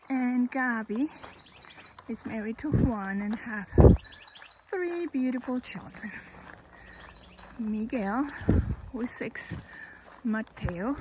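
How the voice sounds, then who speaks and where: A woman in her fifties from Colombia with a Colombian Spanish accent, slowly telling her life story, pausing and correcting herself. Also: fairly high pitch. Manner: slow, thoughtful, with pauses and self-corrections. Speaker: hiker and vlogger. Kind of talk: personal life story, casual. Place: outdoors on a trail. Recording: outdoor recording with background noise.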